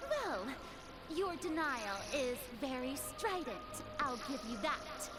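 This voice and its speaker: A woman's voice: high-pitched, very animated, slow, exaggerated voice. A woman playing a haughty, theatrical young female accuser in an anime game, with a taunting manner. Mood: smug. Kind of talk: anime game character voice acting. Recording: loud game music behind.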